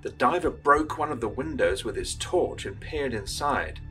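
A man playing a grave, courteous coast guard captain, measured and formal, in old-time radio drama style. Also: mid-range voice, expressive, fairly bright tone, soft background music, natural voice.

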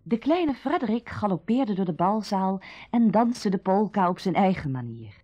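An actress playing the storyteller of a radio drama, narrating a summer tale. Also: female voice, mid-range voice, sing-song, normal speed, dark tone. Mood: calm storytelling. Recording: dull, roomy old radio recording.

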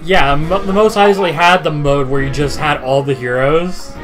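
A man's voice: on the high side, steady tone, moderate pace, a bit bright, faint music behind. A man co-hosting a podcast, chatting casually about video games over a voice call. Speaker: podcast co-host. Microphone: voice chat call.

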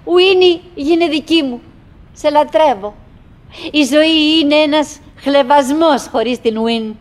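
An actress playing a wistful, chattering middle-aged wife in a theatrical radio monologue, in broken, repetitive phrases with dramatic pauses. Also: female voice, high voice, lightly acted. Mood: emotional, wistful. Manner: fragmented, repetitive, dramatic pauses with sudden swells.

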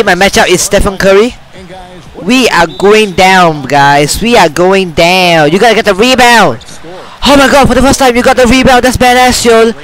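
A young man on a headset mic giving excited, trash-talking commentary while playing a basketball video game in a small room. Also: sits high, low-quality recording. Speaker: gamer.

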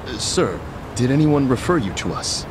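A man's voice: mid-range voice, big pitch swings, normal speed, noisy background, natural voice. Actor as a formal middle-aged club doorman. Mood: polite, firm. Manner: formal, firm. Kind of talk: video game acting.

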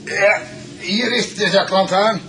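An actor playing a polite, secretive farmer in a Dutch radio drama. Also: male voice, sits high, steady tone, slow pace, pushed and bright, full character voice. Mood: courteous, guarded. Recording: distant, slightly noisy radio drama recording.

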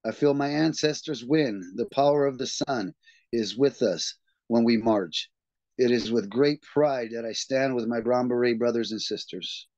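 A man hosting a reading group, reading a text aloud in an earnest, steady way and then leading the discussion over a video call. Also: mid-range voice, normal speed, no echo. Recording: dull, dry lo-fi video call audio.

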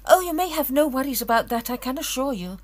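An actress playing a confident, prim young Edwardian clairvoyant in an audio drama, genteel and poised, with an English accent. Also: female voice, high-pitched, expressive, quick pace. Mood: assured.

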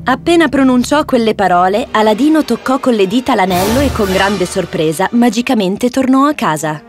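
A woman narrates a children's fairy tale as storyteller. Her voice is fairly high, reading from a script with clear music playing behind. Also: expressive, moderate pace.

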